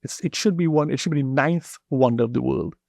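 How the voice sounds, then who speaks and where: A man from Trinidad and Tobago with a Trinidadian accent, a guest telling his story in a relaxed interview and often repeating words as he searches for them. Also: medium pitch, fairly quick, gravelly, no echo, clean studio sound. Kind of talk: casual interview talk.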